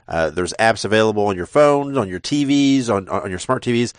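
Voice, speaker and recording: A man's voice: lowish voice, lively intonation, fairly quick, no room sound, studio quality. A man hosting a wrestling podcast in a rambling casual way, congested from a sinus infection. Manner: rambling, with frequent uh fillers and repeated words. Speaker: podcast host.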